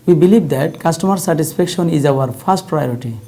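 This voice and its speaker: Creaky, mellow Bangladeshi speaker giving formal speech. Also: medium pitch, a little fast. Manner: formal, reading from a script. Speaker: company executive giving a speech. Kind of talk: formal prepared corporate speech.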